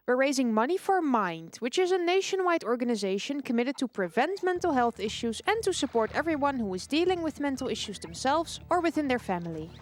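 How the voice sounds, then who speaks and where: A woman's voice: sits high, sing-song, brisk, hardly any pauses. A woman making a prepared charity announcement as host of a live gaming event. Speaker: event host reading donations. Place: live gaming marathon at a hotel.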